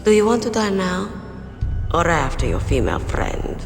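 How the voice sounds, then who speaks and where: A woman playing a cold, proud sniper assassin in a video game, speaking with slow, deliberate menace. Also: lowish voice, sing-song. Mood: menacing, seductive. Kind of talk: dramatic video game voice acting. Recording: reverberant, under loud music.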